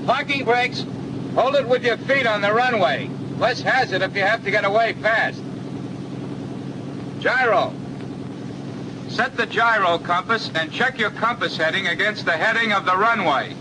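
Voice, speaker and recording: An actor playing an experienced, practical flight instructor in a 1940s training film, giving brisk, commanding instructions. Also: male voice, high voice, noisy background. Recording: old film soundtrack, loud cockpit engine drone.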